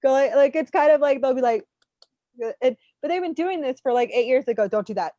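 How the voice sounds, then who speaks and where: A woman with a fairly high voice speaks as a spiritual reader, informal and animated, rambling from one thought to the next. The sound is somewhat dull and lo-fi, like an online stream. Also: a little fast. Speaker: spiritual reader hosting a live readings session. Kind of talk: unscripted spiritual coaching chat. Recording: muffled, roomy, lo-fi online stream.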